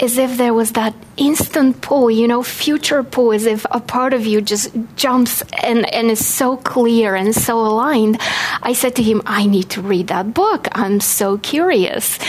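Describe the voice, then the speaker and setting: A woman with a Bulgarian accent giving a personal storytelling talk to an audience, speaking in an earnest, expressive way with emphasis on key words. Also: a little high, measured pace. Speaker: guest speaker, past life regression practitioner.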